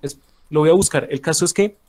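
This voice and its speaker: A man's voice: on the high side, brisk, darkish tone. A man with a Colombian accent in an informal political debate on a voice chat, defensive and often repeating himself. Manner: defensive, repetitive. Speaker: debate guest. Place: online group voice chat.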